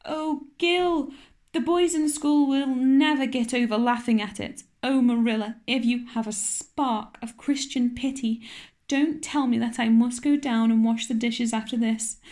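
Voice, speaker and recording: A woman's voice: high-pitched, darkish tone, pitched above the performer's own voice, lightly acted. A woman voicing an imaginative, dramatic orphan girl of about eleven who pleads in a tearful, overwrought way.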